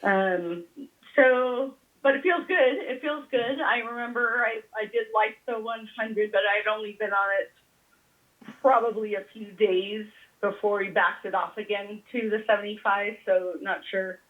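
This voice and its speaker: Woman on a phone line, speaking haltingly. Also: mid-range voice. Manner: hesitant, halting, trailing off between thoughts. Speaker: patient on a call. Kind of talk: casual personal conversation. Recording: thin, band-limited phone call.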